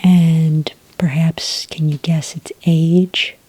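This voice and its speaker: A woman speaking in a soft, whispery voice close to the mic, playing a polite, unhurried agent in an ASMR roleplay. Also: deep voice, level tone. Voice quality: breathy, whispery. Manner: gentle, unhurried, politely procedural. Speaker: ASMR roleplayer acting as a werewolf report agent.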